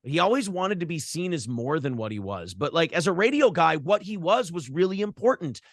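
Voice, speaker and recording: A man's voice: on the high side, big pitch swings, a little fast, no room sound, clean studio sound. An American man talking casually as an interview guest, blunt and opinionated, with profanity and the practised talk of a radio show host. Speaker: morning show host and former radio broadcaster.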